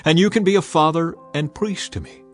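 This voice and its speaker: A man's voice: fairly high pitch, a little fast, faint music behind, voice pushed up, close to an everyday voice. A man voicing a forthright, eager householder of ancient times in a dramatized Bible reading, with direct delivery. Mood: inviting.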